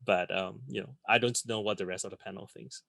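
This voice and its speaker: A middle-aged man from Singapore, a podcast panelist talking in a halting way with many ums and restarts. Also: on the low side, steady tone, vocal fry, a bit bright, dry recording. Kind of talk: casual discussion.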